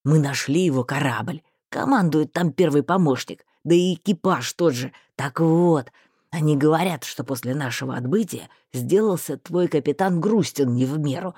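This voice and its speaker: Woman putting on a gruff, folksy male mage. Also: deep voice, moderate pace, darkish tone, no echo, clean studio sound, voice pushed down. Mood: rambling. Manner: rough, folksy, rambling. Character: bluff, talkative older male mage, teasing.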